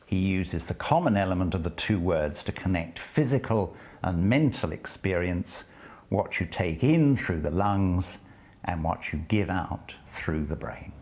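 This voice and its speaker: Slow, low-voiced man reading poetry. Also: expressive, a little mellow. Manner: measured, deliberate delivery with dropping phrase ends. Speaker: poetry reader and lecturer. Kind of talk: spoken introduction and poetry reading.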